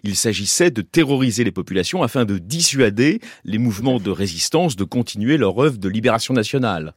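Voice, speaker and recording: A man hosting a cultural radio interview, speaking in an articulate, formal way with elaborate sentences. His voice sits fairly low, and the sound is very dry and close, with no room at all. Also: lively intonation, fairly quick, breathy edge, no echo. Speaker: radio host.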